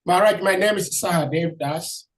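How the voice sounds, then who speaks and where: Man, host, hoarse, polite formal welcome, halting with fillers, slightly high voice. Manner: polite, hesitant with many fillers. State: hoarse, losing his voice.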